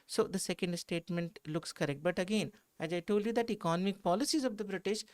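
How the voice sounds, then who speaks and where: A man's voice: high-pitched, fast talker. Male history teacher, Indian accent, explanatory lecture style, didactic, repeats points for emphasis. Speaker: history educator. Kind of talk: explanatory lecture in a panel discussion.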